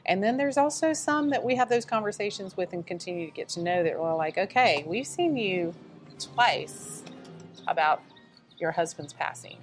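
A woman's voice: medium pitch, normal speed, soft background music. A woman with a light Southern accent, a guest telling stories in a conversational interview and acting out the people in them. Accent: Southern American. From American South. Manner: animated storyteller, acts out quoted dialogue. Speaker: nonprofit staff guest.

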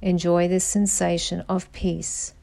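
A woman guiding a meditation in a calm, soothing voice, giving measured instructions with pauses. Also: fairly low pitch, creaky. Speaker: meditation guide, podcast host. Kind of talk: slow guided meditation narration.

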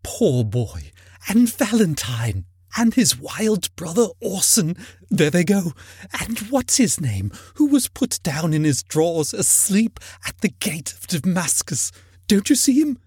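A man voicing an emotional old man in a storybook reading, exclaiming and repeating himself in a husky, cracking voice. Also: very high-pitched, very animated, slow pace, hardly any pauses, mellow, no echo, studio quality, voice pushed up, exaggerated voice. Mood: ecstatic. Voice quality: husky, cracking between laughing and crying. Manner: exclamatory, breathlessly repetitive.

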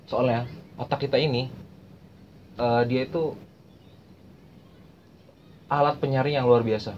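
A man's voice: medium pitch, steady tone, measured pace. Young man, slangy casual explainer, muffled lo-fi sound, faint hiss. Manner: slangy, repeats phrases while explaining. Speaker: podcast host. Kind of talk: casual chat explaining language learning. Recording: muffled lo-fi recording with faint noise.

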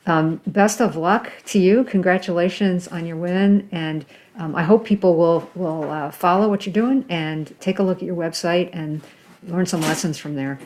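Lowish-voiced woman interviewing. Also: normal speed, a little mellow. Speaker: interviewer, host. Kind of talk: conversational interview.

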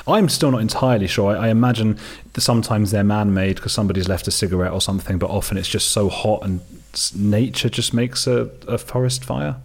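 Deep-voiced English man, chatty and joking. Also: fairly quick, breathy edge. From England. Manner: chatty, joking, self-deprecating. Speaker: guest. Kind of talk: casual conversation.

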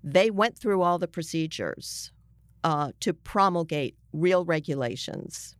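A woman with an American accent and a fairly low, clear voice talks in a conversational interview. She explains with emphasis, pausing and restarting as she builds her point. The sound is dry and close. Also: expressive, dry recording. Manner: explanatory, emphatic, halting mid-sentence. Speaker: journalist being interviewed.

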